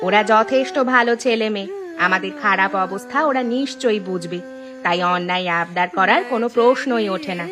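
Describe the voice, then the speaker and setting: A woman acts out a homely cartoon wife and mother. Her voice is mid-pitched, patient and consoling. Music plays noticeably behind her. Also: brisk, natural voice. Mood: reassuring. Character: patient, reassuring village housewife and mother. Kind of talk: Bengali cartoon dialogue dubbing.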